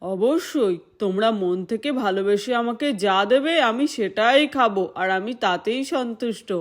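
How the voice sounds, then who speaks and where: A woman's voice: a little high, lively intonation, measured pace. A woman voicing a polite, earnest little boy god disguised as a hungry child in a doll story. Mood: grateful. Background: music.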